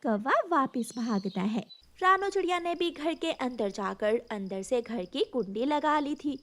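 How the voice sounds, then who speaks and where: A woman's voice: high-pitched, lively intonation, measured pace. A woman narrating a scripted children's story as its storyteller.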